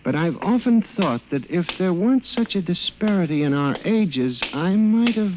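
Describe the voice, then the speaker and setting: An actor playing a timid, hesitant middle-aged male clerk who narrates and acts in an old-time radio drama, with an apologetic, quietly resentful manner. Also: high-pitched, expressive, normal speed, noisy background. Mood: wistful, bitter. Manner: hesitant, meek, deferential. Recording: old radio broadcast with music bed.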